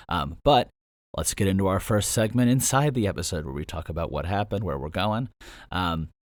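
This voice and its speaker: A man hosting his own audio drama podcast, talking through an episode in a loose, rambling way with lots of fillers, his voice slightly hoarse. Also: deep voice, dry recording, clean studio sound. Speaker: podcast host and show creator. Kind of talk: casual behind-the-scenes commentary.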